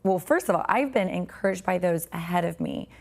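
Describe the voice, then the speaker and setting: A woman in her forties, a podcast guest with a raspy, tired voice, talking earnestly and reflectively about faith. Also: on the low side, lively intonation, brisk, a little mellow. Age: 40s.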